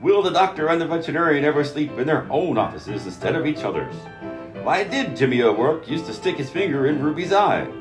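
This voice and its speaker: A man's voice: fairly high pitch, roomy sound. A man playing a melodramatic old-time radio serial announcer delivering cliffhanger narration in a comedy show.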